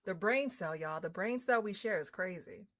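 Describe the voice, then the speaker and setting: A woman livestreamer chatting casually with her viewers in an excitable, slangy, informal way. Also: medium pitch, moderate pace. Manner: excitable, hyped, effusive; slangy, informal, drops swearing in. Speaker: livestreamer hosting a game stream.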